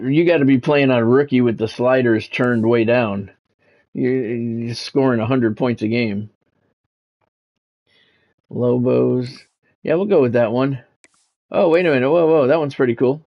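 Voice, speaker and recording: A man's voice: medium pitch, a little slow, pauses often, lo-fi sound. A middle-aged man streaming a sports video game, talking casually and commentating in a blunt, sardonic way. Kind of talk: casual livestream chat with sports play-by-play commentary.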